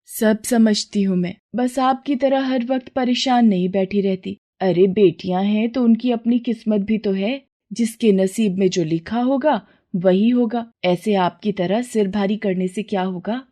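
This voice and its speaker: A practical village wife from a storybook drama, acted out by a woman narrator. Her voice is mellow and mid-pitched. She chides gently and plainly, like someone used to settling worries at home. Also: unhurried, few pauses, lightly acted. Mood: chiding. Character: village wife and mother, practical, calmly chiding.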